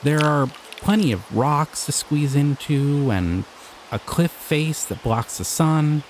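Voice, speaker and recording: A young man voicing a shy, excitable male slime creature in an audio roleplay, talking in an earnest, flustered, giggly way. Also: on the high side, slow, slight background noise. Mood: excited. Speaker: voice actor.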